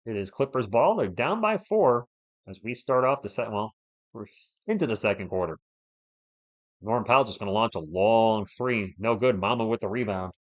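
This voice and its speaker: A man's voice: on the low side, animated, normal speed, lo-fi sound. Man casually calling a game live. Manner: conversational, addresses viewers by name, playful asides. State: under the weather. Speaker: live stream sports host and commentator. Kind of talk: casual fan play-by-play commentary, chatting with live viewers.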